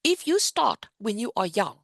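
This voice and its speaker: A middle-aged woman with a South African accent, teaching the basics of investing in an animated, explanatory way on a webinar. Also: mid-range voice, normal speed, gravelly, no room sound, clean studio sound. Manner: animated, explanatory teaching with a lively sing-song rise and fall. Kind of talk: webinar presentation.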